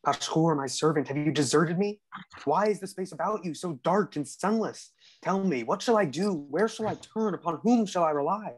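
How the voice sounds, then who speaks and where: A man's voice: on the high side, animated, normal speed, slightly rough. Young man playing a despairing lovesick young master. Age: young adult. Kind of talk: staged reading of a comic play. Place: room at home. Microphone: earphone headset on a video call.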